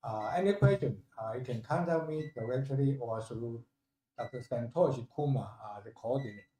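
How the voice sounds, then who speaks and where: An older man with a Taiwanese accent speaks haltingly and humbly, giving a thank-you address as a professor. His voice is soft-edged and mellow, recovering after he lost it, and the room echoes around him. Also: medium pitch. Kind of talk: valedictory thank-you speech. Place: indoor hall at a university ceremony.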